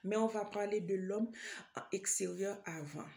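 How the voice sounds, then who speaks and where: A woman's voice: mid-range voice, fairly even intonation, normal speed, creaky, warm tone. A woman from Haiti with a Haitian accent, preaching an informal sermon straight to the listener, hesitant, full of fillers and repeated phrases. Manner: addresses listener directly.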